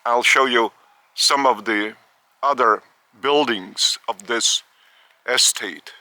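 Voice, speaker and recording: A man from Lithuania, giving a spiritual talk outdoors in the park of an old estate, speaking slowly in short, halting phrases. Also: mid-range voice, pushed and bright, no room sound. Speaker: spiritual teacher.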